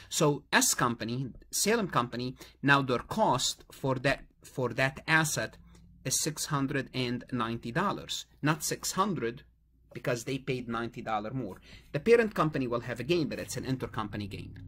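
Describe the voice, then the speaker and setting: A man's voice: mid-range voice, a bit bright, breathy edge. A man lecturing in a tutorial style, working through a problem, repeating key phrases and posing questions that he then answers himself. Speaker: accounting lecturer.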